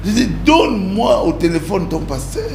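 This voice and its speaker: An older pastor from the Congo with a Congolese French accent, answering interview questions with anecdotes and frequent laughter. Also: male voice, high-pitched, very animated, normal speed, gravelly, darkish tone. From Democratic Republic of the Congo. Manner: laughs while telling stories, repeats words. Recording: echoey room with background noise.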